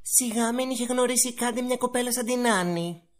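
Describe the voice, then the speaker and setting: A woman's voice: on the high side, close to an everyday voice. A woman voicing a young member of a wealthy household in an amateur anime dub. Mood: snide, bossy.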